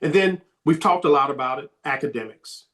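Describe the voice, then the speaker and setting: Emphatic man, voice going slightly hoarse. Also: a little high, fairly quick, fairly bright tone. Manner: emphatic, repeats key points for stress. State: slightly hoarse, losing his voice. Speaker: sports recruiting coach, guest. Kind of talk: podcast interview answers.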